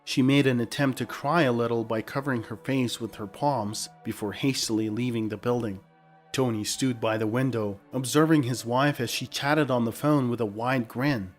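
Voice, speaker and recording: A man's voice: mid-range voice, steady tone, normal speed, faint music behind. A man reading a scripted dramatic story as narrator.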